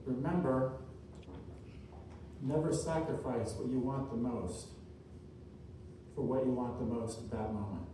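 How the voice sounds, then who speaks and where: A man giving an inspirational speech to a room, earnest and deliberate, speaking in short, emphatic, repeated phrases. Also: medium pitch, fairly even intonation. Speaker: motivational speaker, author. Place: large indoor room at a seminar. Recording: distant mic, echoey room, faint noise.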